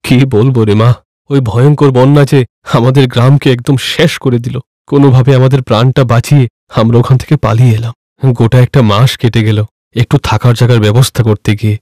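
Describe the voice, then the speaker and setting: A man's voice: medium pitch, fairly even intonation, brisk, darkish tone, dry recording, studio quality, natural voice. Man acting anxious poor village father. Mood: sorrowful, amazed.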